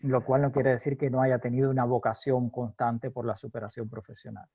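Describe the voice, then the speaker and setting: A Cuban man from Holguín, a law professor, answering interview questions in a measured, hesitant way, with many fillers and frequent laughs. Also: mid-range voice, flat delivery, no room sound, dull audio. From Cuba, Holguín.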